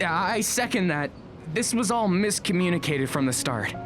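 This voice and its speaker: A woman's voice: on the low side, lively intonation, normal speed, background noise, lightly acted. A woman playing a shy, polite teenage schoolboy in an audio drama, hesitant and prone to stammering. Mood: awkward, apologetic.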